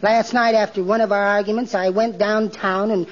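An actor playing a meek, timid, henpecked husband in a mild, overly polite way, in 1940s radio comedy style. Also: male voice, sits high, flat delivery, moderate pace, close to an everyday voice. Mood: meekly resigned. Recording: muffled 1940s radio recording, studio audience.

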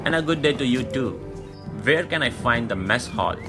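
Male actor as wry verbose newcomer, medium pitch, audio drama, music clearly behind. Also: animated, normal speed, close to an everyday voice. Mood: wry, courteous. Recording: music under the dialogue.

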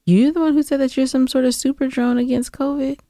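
A woman's voice: high-pitched, steady tone, a little fast, warm tone, no room sound, clean studio sound. A woman co-hosting a podcast in casual banter, sassy and playfully argumentative. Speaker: podcast co-host.

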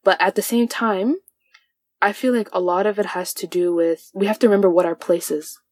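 A young woman with a mid-range voice hosts a relaxed podcast discussion. She talks quickly, and the recording is close and dry. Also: medium pitch, no room sound. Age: young adult. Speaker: podcast host. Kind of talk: casual group discussion.

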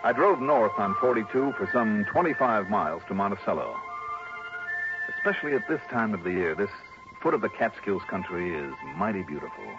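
A man's voice: fairly low pitch, sing-song, fairly quick. An American actor playing a wry, breezy male insurance investigator in old radio drama style. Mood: measured, wry. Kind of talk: 1950s-60s American radio drama acting and narration. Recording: dull old radio recording with music.

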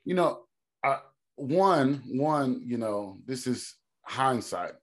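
A man from Texas with a deep, mid-pitched voice talks about his life as a guest on a podcast. He is reflective and thinks aloud, pausing on ums and restarting his sentences as he goes. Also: expressive. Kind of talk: reflective conversational storytelling.

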